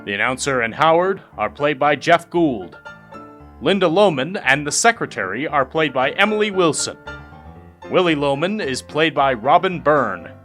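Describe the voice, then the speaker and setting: A man's voice: a little high, sharp tone. A man reading scripted comic credits as an announcer, in a showy, tongue-in-cheek manner. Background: music.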